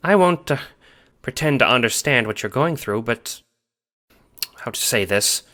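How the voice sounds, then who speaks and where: A man voicing a stern yet warm old grandmother who teases fondly in an audio drama. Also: mid-range voice, lively intonation, measured pace, pitched above the performer's own voice. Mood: stern, gentle. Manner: fussy, affectionate, hooting pet-name talk. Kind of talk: audio drama character acting.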